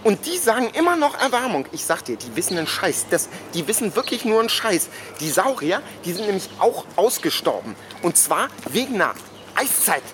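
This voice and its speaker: A man's voice: sits high, very animated, brisk, background noise. A man playing a brash, grumbling know-it-all groundskeeper in a radio drama, argumentative and stumbling for words as he rants. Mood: ranting. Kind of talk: naturalistic radio drama dialogue.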